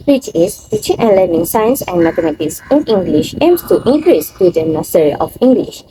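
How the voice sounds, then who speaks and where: A woman's voice: medium pitch, noisy background. A young woman, a university student reading her part of a class presentation. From Malaysia. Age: young adult.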